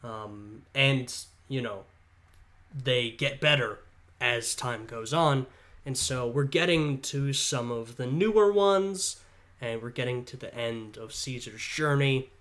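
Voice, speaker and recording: A man with a stuffed-up, congested voice talks casually as he reacts to a history video. He thinks aloud, hedging and musing over each point at an ordinary, middling pitch. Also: slow, fairly bright tone.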